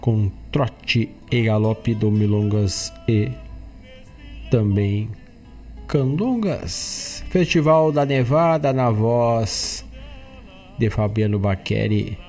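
A man's voice: fairly low pitch, slow pace, a little mellow, soft background music. A man with a southern Brazilian gaúcho accent announcing as a radio host. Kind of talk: radio announcing, reading a playlist.

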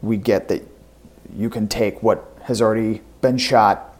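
A man's voice: on the low side, steady tone, measured pace, a little mellow. A man chatting casually about movies as a podcast co-host.

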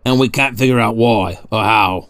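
A man voicing a powerfully built, gruff police constable in a storybook, blunt and colloquial, tense in temper. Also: lowish voice, normal speed, fairly bright tone, dry recording, clean studio sound. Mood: tense, grim.

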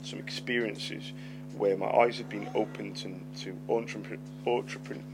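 A man's voice: on the low side, fairly even intonation, measured pace, background noise. A middle-aged man, a lisp and a stammer, telling a story on his podcast, swearing freely and repeating words. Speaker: podcast host. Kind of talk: storytelling monologue. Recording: loud music behind voice.

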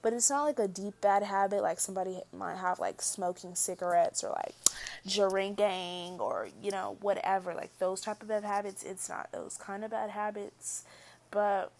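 A young woman in a casual monologue to camera, soft-spoken and prone to mumbling, rambling with lots of "like" and restarts. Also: mid-range voice, moderate pace, vocal fry, slight background noise. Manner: rambling, full of likes and restarts. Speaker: vlogger.

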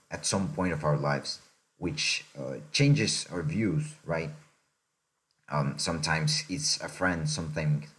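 A young man from Mexico talks through life lessons in a relaxed podcast chat, thinking aloud and checking in with "right?". His voice sits extremely low, a deep rumble under every phrase. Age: young adult. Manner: reflective, unhurried, often tags "right?". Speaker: podcast co-host.

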